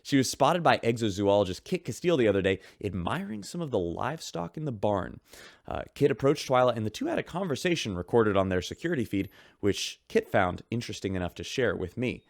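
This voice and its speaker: A man's voice: lowish voice, lively intonation, brisk, vocal fry, no echo, studio quality. An actor playing a chatty, showman-like radio host reading the ship's news in an audio drama.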